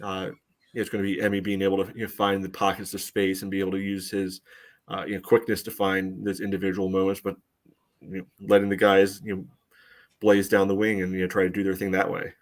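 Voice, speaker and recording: A man's voice: sits low, completely flat delivery, fairly quick. A man co-hosting a podcast and analysing soccer in casual chat, in hesitant, choppy phrases peppered with "you know".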